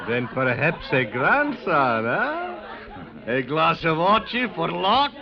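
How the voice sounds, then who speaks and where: Miserly old father, high-pitched, theatrical. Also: wildly swooping pitch, takes it slowly, exaggerated voice. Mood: pleased, jovial. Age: older. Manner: theatrical, protesting. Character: haggling, miserly old Armenian immigrant father. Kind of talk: 1950s radio western acting. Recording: muffled vintage radio recording, crowd noise.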